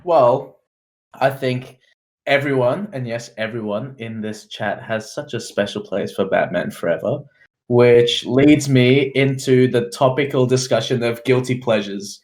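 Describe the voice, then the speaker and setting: A man's voice: medium pitch, a little slow, a little mellow. A man co-hosting a podcast in casual group banter with friends. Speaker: podcast co-host.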